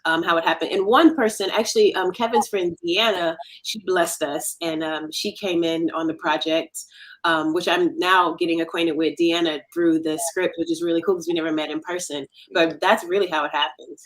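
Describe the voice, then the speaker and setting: Lowish-voiced woman chatting casually. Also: fairly even intonation, moderate pace, low-quality recording. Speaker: radio drama project member being interviewed. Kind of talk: casual interview chat.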